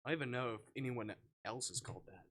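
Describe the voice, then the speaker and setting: A man's voice: medium pitch, quick pace, fairly bright tone, slight background noise. A man livestreaming a visual novel with casual, playful, irreverent commentary and a giggly way of talking. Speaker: streamer.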